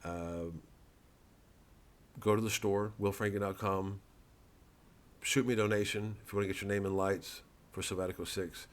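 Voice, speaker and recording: A man's voice: on the low side, level tone, moderate pace, long pauses. A man, a comedian, talking casually to camera in a rambling way, with stammering restarts and free swearing.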